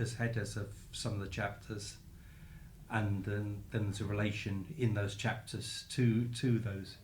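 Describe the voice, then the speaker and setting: A man's voice: lowish voice, a little mellow. Man interviewing in an echoey room. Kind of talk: literary interview. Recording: echoey room, faint background noise.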